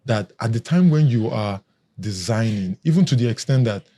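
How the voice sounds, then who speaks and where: A man's voice: fairly low pitch, expressive, moderate pace, warm tone. A man, a security expert guest, explaining step by step in a casual podcast chat. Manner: explains step by step, lists questions.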